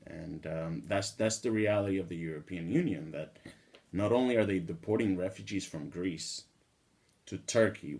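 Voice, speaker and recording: A man in his thirties from the Dominican Republic speaks with a very low, deep voice. He talks straight to the camera in a small indoor room, earnest and building indignation as he makes his case. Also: gravelly, darkish tone. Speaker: vlogger. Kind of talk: direct-to-camera monologue.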